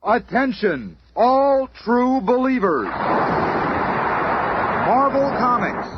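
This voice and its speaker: A man announcing a 1970s radio show with booming showman hype. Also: big pitch swings, takes it slowly, background noise, full character voice. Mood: hyping. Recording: dull 1970s radio recording with music.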